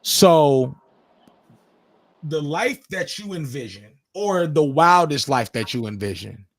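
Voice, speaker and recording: A man's voice: fairly high pitch, animated, takes it slowly, no echo. 30-something guy on a livestream, cussing and slangy, dry close sound, pushing folks with questions. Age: 30s. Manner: profane, slangy, steering the talk with questions. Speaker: livestream host. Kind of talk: casual chat. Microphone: distorted mic at times.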